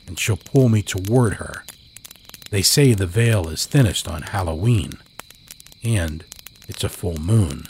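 A man's voice: low voice, a little mellow, slight background noise. A man playing a frail, grieving narrator who longs for a dead beloved, in a solemn, brooding horror campfire tale. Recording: cricket night ambience under the voice.

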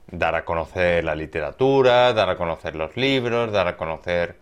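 Low-voiced, lively man hosting. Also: moderate pace, clean tone. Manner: informal, repeats phrases, checks in with tag questions. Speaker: podcast host. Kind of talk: casual unscripted podcast chat.

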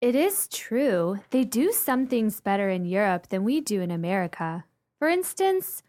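A woman's voice: mid-range voice, big pitch swings, unhurried, darkish tone. An actress playing a playful, eager American woman listening to a ghost story in a radio play, inquisitive and theatrical.

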